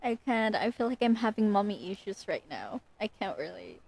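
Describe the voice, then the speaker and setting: A woman's voice: medium pitch, sing-song, normal speed, gravelly. A woman livestreamer giving casual, murmured and giggly reactions to a game. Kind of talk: casual live game commentary.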